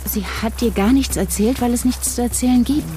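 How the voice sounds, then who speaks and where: A woman's voice: a little high, fairly quick, over music, natural voice. An actress playing a cool, controlling woman in an audio drama, dismissive and persuasive as she handles accusations. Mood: guarded, dismissive.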